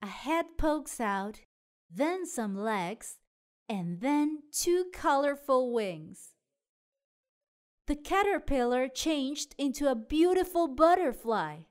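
A woman narrating children's storybooks in an expressive way aimed at young listeners. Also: high voice, takes it slowly. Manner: expressive, child-directed delivery. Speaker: storyteller and show host.

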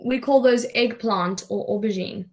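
A young woman with a South African accent leads a casual English lesson over a video call. Her voice is a touch high, and she speaks as a tutor, putting simple questions to a learner. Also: moderate pace. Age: young adult. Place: indoors in a room, on a video call. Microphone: webcam video call.

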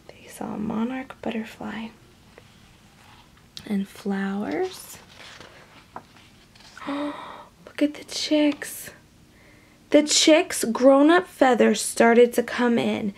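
A woman reading a storybook aloud to young children in an expressive, animated way, with hushed asides. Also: fairly high pitch, drawn-out words, vocal fry, pauses often, husky. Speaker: storybook reader.

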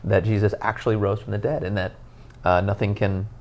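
Lowish, gravelly male pastor. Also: fairly quick. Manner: animated, earnest storytelling with many "you know" fillers. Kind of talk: casual podcast conversation. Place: living room, on a couch, indoors.

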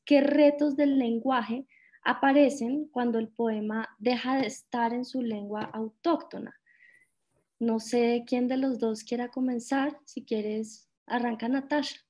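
A woman moderating a panel over a video call, speaking haltingly with hesitations. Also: fairly high pitch, mellow.

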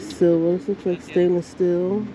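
A woman's voice: on the low side, level tone, unhurried, crowd noise. A woman vlogging as she browses an outdoor flea market, easygoing and curious, exclaiming at the things she finds and asking vendors about prices. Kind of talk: casual vlog chat with vendors. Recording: noisy outdoor market with traffic.

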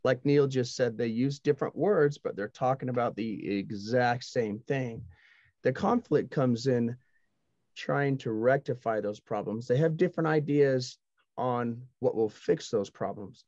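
A man's voice: mid-range voice, unhurried, a little mellow, dry recording. A middle-aged man speaking as a panelist, explaining his views earnestly and emphatically. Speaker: discussion panelist. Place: indoors, dark backdrop. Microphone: headset on video call. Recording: dull video call audio.

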